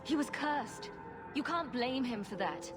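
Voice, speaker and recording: An actress playing a bold adventurer heroine in a video game, with an assured, sardonic delivery. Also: female voice, sits high, animated, measured pace, over music. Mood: firm. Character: bold, dry-witted adventurer heroine, adult woman. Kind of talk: dramatic video game acting.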